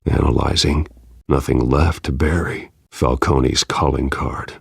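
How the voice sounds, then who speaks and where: An actor playing a grim, menacing masked vigilante in a video game, terse and controlled, in a gravelly growl. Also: male voice, very deep voice, fairly even intonation, unhurried, no room sound, clean studio sound, full character voice. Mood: analytical, terse.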